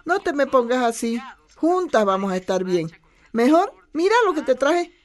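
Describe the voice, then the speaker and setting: A high-voiced old Bolivian grandma in a radio drama. She is folksy and doting, protective of her family, and talks in fond diminutives. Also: sing-song, moderate pace. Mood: reassuring. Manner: affectionate, folksy, uses many diminutives.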